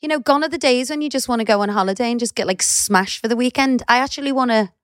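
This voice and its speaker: Scouse woman co-host, middle-aged, lively storyteller, higher voice, dry close mic. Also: fast, no echo. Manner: chatty, animated storyteller who swears casually. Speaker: podcast co-host. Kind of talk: casual chat.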